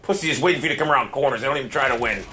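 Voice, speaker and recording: A man's voice: medium pitch, very fast, pushed and bright. A man playing a video game, griping and ranting in profane, repetitive chatter on a noisy recording.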